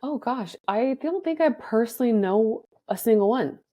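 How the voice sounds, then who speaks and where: A woman's voice: a little high, expressive, normal speed, mellow, no echo. A woman in her thirties, a therapist guest on a podcast, speaking in a thoughtful, reflective way. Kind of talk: casual podcast conversation.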